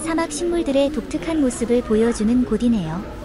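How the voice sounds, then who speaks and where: A woman's voice: a little high, lively intonation, brisk, a crowd in the background. Woman narrating a travel vlog. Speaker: travel vlogger.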